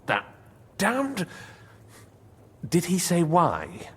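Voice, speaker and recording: A man's voice: high voice, huge pitch swings, extremely slow, fairly bright tone, breathy edge, full character voice. A man playing a shrewd, wry medieval nobleman with a theatrical manner in video game dialogue. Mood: indignant.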